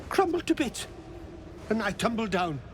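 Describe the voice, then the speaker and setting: Dazed, halting man with swooping pitch. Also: very animated, slight background noise. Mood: rambling, eager. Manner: halting, fragmented, trailing off and repeating himself. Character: dazed, confused male mushroom forager, muddled and earnest.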